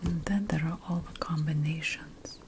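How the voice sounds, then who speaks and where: A woman playing an ancient witch priestess, a patient and lofty potion scholar, in a hushed, deliberate ASMR fantasy roleplay. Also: deep voice, fairly even intonation, background noise. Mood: hushed, instructive. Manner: hushed, deliberate, lingering over lists.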